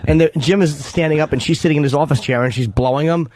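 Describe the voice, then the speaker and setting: A man with a fairly high-pitched voice talks like a radio comedian among friends. He is brash and profane, tossing out crude bits in a casual, conversational style. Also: quick pace, a little mellow, rough recording. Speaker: comedian on a radio talk show.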